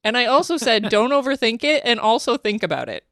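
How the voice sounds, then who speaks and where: A woman's voice: fairly high pitch, very animated, brisk, a bit bright, dry recording, clean studio sound. A woman with a Canadian accent hosting a podcast, chatting casually and acting out quotes, laughing often. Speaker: podcast host. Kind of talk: casual chat.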